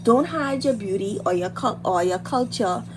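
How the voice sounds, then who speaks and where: A woman's voice: mid-range voice, animated, normal speed. A woman with a Bahamian accent chatting casually and encouragingly as a livestream host, in informal speech that repeats phrases for emphasis. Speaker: livestream host, beauty and hair content creator. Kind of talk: casual chat while doing makeup, motivational girl talk. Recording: loud background noise on livestream.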